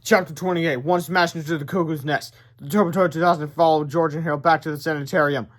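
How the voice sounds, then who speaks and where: A man's voice: a little high, fairly even intonation, quick pace. A man narrating a children's storybook aloud in a theatrical, informal way.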